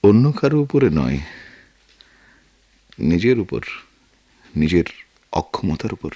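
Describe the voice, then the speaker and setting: An actor with a Bangladeshi accent playing an earnest, wounded war veteran turned writer, speaking in a measured, literary radio drama manner. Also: male voice, low voice, very animated, warm tone, husky, dry recording, heavily acted. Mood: regretful, earnest. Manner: measured, reflective, literary delivery. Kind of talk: Bengali radio drama acting.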